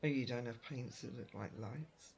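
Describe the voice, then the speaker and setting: A man's voice: medium pitch, moderate pace, vocal fry, darkish tone, a little hiss or hum, close to an everyday voice. A man playing a curious, helpful storekeeper from another world, easygoing and explanatory in an audio roleplay. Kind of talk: roleplay audio drama addressed to the listener.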